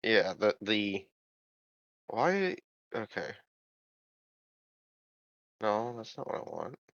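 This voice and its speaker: A man's voice: on the low side, sing-song, very slow, fairly bright tone, no echo. A man chatting casually as a player in a tabletop game, with hesitant, stammered phrasing. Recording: slightly muffled dry voice recording.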